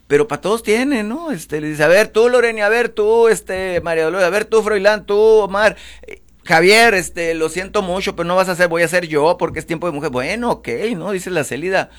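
A man's voice: high voice, moderate pace, fairly bright tone. A man with a Mexican Spanish accent, talking politics casually on a panel, with many fillers. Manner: chatty, many fillers, acts out quoted speech.

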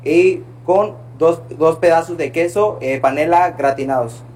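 A man, a restaurant staff member presenting dishes one by one, careful and deliberate with many "eh" fillers. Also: a little high, a little mellow, slight background noise. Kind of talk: presenting a menu. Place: hotel restaurant.

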